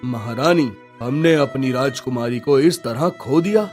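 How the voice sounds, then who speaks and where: A man acts out a sorrowful king in a cartoon tale. His mid-pitched voice mourns as a bereaved father, with faint music behind. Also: measured pace, a little mellow, close to an everyday voice. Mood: grieving. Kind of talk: Hindi cartoon story acting.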